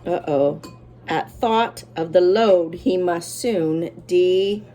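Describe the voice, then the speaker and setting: A woman's voice: lowish voice, slow, dark tone, a little hiss or hum. A woman teacher doing a children's read-aloud, with simple, encouraging explanations for young listeners.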